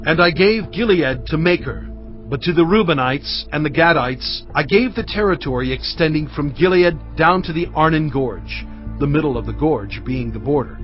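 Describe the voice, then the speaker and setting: A man as Moses, earnest and measured. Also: a little high, lo-fi sound, natural voice. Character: Moses, an aged leader recounting conquests. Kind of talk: dramatised scripture reading.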